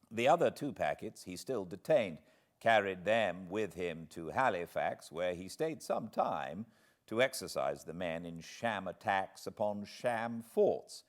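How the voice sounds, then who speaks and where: Deep-voiced, animated British male narrator. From Britain. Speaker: audiobook narrator. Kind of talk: expressive literary audiobook reading.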